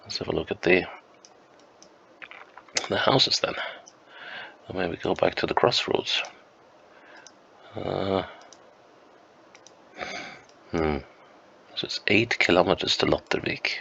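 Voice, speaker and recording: A man with a flu, sounding croaky and sniffly, thinking aloud slowly and hesitantly as he plays a map game at home. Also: sits low, pushed and bright, muffled recording, slight background noise. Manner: slow, musing, hesitant with many ums. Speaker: game streamer. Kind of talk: thinking-aloud gameplay commentary.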